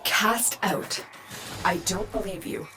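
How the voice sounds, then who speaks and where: A regal, motherly queen from a science-fiction game. She speaks slowly and deliberately in a middle register, cool yet troubled, with faint music underneath. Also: medium pitch, sharp tone, rough recording. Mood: incredulous. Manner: measured, deliberate. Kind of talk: dramatic game voice acting.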